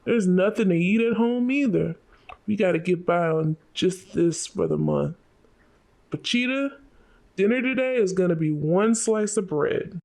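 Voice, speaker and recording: A man playing a destitute, resigned teenage devil hunter in a fan-made motion comic, with a flat, weary, blunt delivery. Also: high voice, a little slow, vocal fry, heavily acted.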